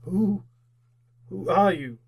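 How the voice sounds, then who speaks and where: Grumbling old miser played by a man, highish voice. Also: slow, a little mellow, slightly rough, full character voice. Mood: uneasy, frightened. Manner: grumbling, theatrical, sputtering. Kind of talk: old-time radio drama acting.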